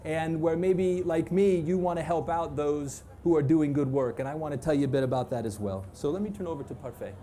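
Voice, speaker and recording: A man, a professor, introducing a student speaker at a university event in a friendly tone with many filler pauses. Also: fairly high pitch, music in the background. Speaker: professor and moderator. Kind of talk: introductory academic talk.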